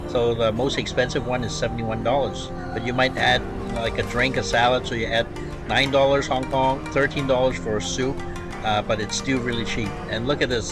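Chatty man touring a fast food menu. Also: mid-range voice. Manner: chatty, enthusiastic, filler-heavy. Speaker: food vlogger. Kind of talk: casual food vlog narration.

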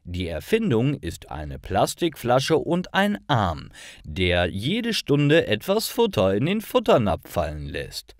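A man reading a scripted story for language learners, with clear and careful, expressive enunciation. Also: medium pitch, slow pace, dry recording, clean studio sound. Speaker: narrator of stories for language learners.

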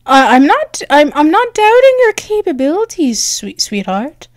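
A woman with a high voice speaks slowly in an intimate ASMR roleplay. She is bashful and halting, with soft affection in her delivery. The sound is close and dry, with no room around her. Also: sing-song, no echo. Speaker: audio roleplay performer.

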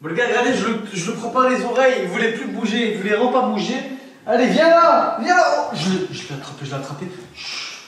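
A young man vlogging casually at home, excitable and chatty, talking straight to his viewers and laughing often. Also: very high-pitched, fast, a little hiss or hum. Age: young adult. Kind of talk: casual vlog to camera. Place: indoor bedroom at home. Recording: roomy echo, voice from off-mic.

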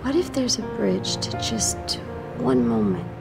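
An actress playing a haunting, wistful young woman, speaking slowly and softly in a film voice-over, with music behind her. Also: a little high, creaky. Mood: haunted. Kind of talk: brooding film voice-over narration. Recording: film soundtrack with loud music.

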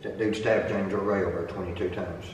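A man's voice: low voice, steady tone, fairly quick, crowd noise. A man with a Southern US accent working as a tour guide, casually telling stories to visitors. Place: large concrete prison cell block. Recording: echoey hall, distant from camera, noisy.

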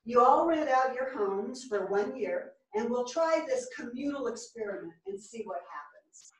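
An actress as a bookish old woman, dryly sardonic and morbid. The voice is fairly high and rings around a theatre, picked up from far off. It is a stage reading. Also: lively intonation, moderate pace, close to an everyday voice. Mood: dry, wry. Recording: echoey theatre, distant microphone.

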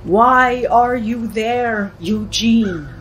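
A woman playing a self-assured, theatrical teenage séance leader with a husky, solemn delivery in an audio drama. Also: a little high, very slow, slight background noise.

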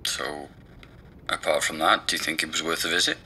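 A man's voice: rumbling low, steady tone, fairly quick, bright, forceful tone, a little hiss or hum, full character voice. An actor playing a laid-back man helping a podcaster with research, asking casual questions in a naturalistic audio drama. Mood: curious. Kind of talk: naturalistic audio drama dialogue over a call.

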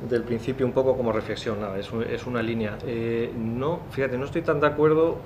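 A man's voice: medium pitch, brisk, a little mellow, slight background noise. A man in his thirties on a conference panel, starting his points reflectively with stumbling restarts. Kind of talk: panel discussion. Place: conference room. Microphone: desk microphone.

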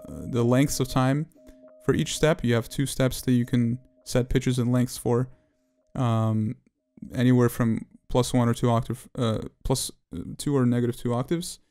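A man presenting an unscripted software tutorial as its developer, explaining technical detail in a halting, hesitant way. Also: medium pitch, little pitch movement, smooth.

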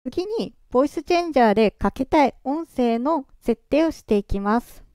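A presenter walking through software setup step by step in a calm, explaining way, their voice run through a pitch-raising voice changer. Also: moderate pace, clear, resonant tone, no room sound, clean studio sound. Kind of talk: screen-recorded software walkthrough.

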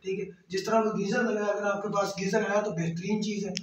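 High-pitched young Pakistani man, very echoey. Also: level tone, quick pace. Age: 20s. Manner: explanatory, step-by-step. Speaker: juice factory machine supplier. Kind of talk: informal product explanation. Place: small tiled indoor workshop. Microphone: camera a couple of metres away. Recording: distant, very echoey tiled room, faint noise.

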